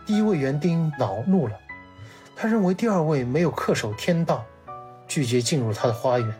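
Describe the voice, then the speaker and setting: A man narrating a scripted tale in a measured, literary manner, as a podcast guest. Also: a little high, lively intonation, mellow. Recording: music behind the voice.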